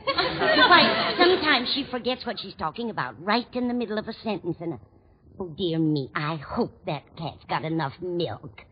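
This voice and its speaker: Actress as dithering older landlady, lively old radio. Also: medium pitch, moderate pace, a faint crowd, close to an everyday voice. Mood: fluttery, muddled. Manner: dithering, trails off mid-thought. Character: sweet absent-minded older landlady, chatty and fond. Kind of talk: 1940s radio comedy acting. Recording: dull noisy 1940s radio recording, studio audience. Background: studio audience.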